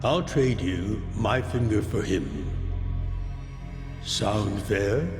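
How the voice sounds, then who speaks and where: Hard gangster, lowish creaky voice, threatening, deliberate, game drama, long reverb, prominent music. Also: male voice, lively intonation. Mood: menacing. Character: menacing, unhurried male gangster making grim bargains. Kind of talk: dramatic video game dialogue. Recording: very echoey, reverberant, music over voice.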